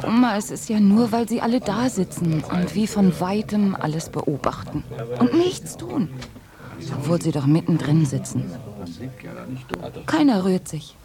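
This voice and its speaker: An actress playing an upset, outspoken young granddaughter in a radio play, emotional and accusing. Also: female voice, fairly low pitch. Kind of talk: German radio play acting.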